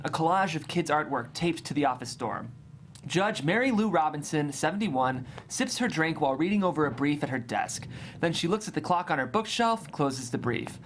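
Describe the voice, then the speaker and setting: A narrator reading scripted stage directions at a table read in a deliberate, descriptive way. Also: mid-range voice, slight background noise.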